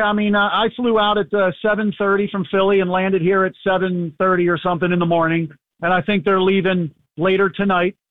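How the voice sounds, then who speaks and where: A hoarse, fairly high-voiced man rambles easily over a tinny phone line. His dry, close sound and scratchy throat make it plain he is calling in from far away. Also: no echo. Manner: rambling, easygoing, many fillers. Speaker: sports reporter calling in. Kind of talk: casual radio phone interview. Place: abroad, away from the studio. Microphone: phone call.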